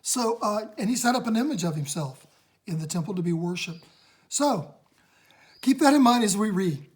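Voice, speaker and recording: Raspy-voiced woman leading a casual home Bible study, emphatic and excited. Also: medium pitch, normal speed. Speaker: Bible teacher. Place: home, recorded on a phone.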